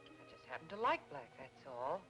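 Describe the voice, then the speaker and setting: An actress playing a wistful, romantic young widow in 1940s film noir style, dreamy and lightly ironic. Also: female voice, rumbling low, big pitch swings, normal speed, pushed and bright, exaggerated voice. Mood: light, amused. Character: wistful, romantic young widow, gently wry older sister. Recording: old film soundtrack with music underneath.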